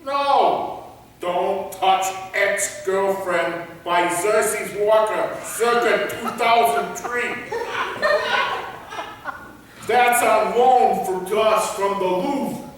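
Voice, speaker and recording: Hapless bluffing museum guard, male actor, high-pitched and slow, halting, recorded far off in an echoey theater. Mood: alarmed. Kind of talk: staged play reading. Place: theater, mic at a distance. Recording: very echoey, distant, faint noise.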